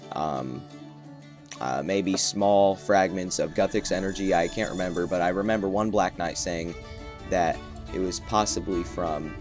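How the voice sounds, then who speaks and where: A man with a low voice gives casual gameplay commentary as host of a gaming video. He rambles a little and hesitates as he explains. Faint game music plays behind him.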